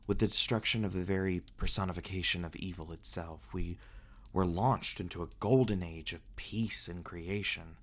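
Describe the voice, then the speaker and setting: A man acting as a reclusive male vampire with a low-pitched voice, speaking in a soft, halting murmur. He is tender and protective, in the close, intimate manner of an ASMR fantasy roleplay. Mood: solemn, thoughtful.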